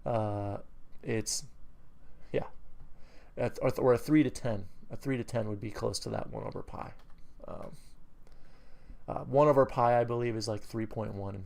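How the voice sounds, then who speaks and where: A man's voice: medium pitch, steady tone, a little slow, creaky, pauses often, darkish tone. A man with a New England accent talking through a trade review over his charts, rambling with many fillers and self-corrections, breaking into enthusiastic bursts. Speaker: trading educator. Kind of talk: screen-recorded trade review.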